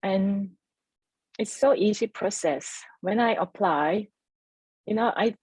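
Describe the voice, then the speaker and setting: A woman whose first language is Korean, speaking heartfelt and halting on a video call about her business. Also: medium pitch, darkish tone, slightly rough, no echo. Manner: heartfelt, halting, earnest encouragement. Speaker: entrepreneur sharing her story. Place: home in Adelaide.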